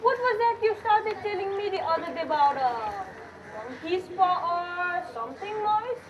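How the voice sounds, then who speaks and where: A grumbling but hospitable family father played by a young woman in an amateur stage play. The voice is high, and it is picked up from a distance over clear background noise. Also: expressive, normal speed, muffled recording. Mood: hearty, curious. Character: grumbling, hospitable middle-aged father and husband. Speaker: student actor in a school play. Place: school stage, recorded from a distance. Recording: distant noisy school stage recording.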